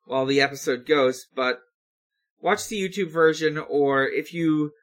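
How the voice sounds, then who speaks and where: A man co-hosting a casual recap discussion, explaining things in a halting, hesitant way. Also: fairly high pitch, fairly bright tone. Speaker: podcast co-host recapping episodes. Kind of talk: casual episode recap with co-hosts.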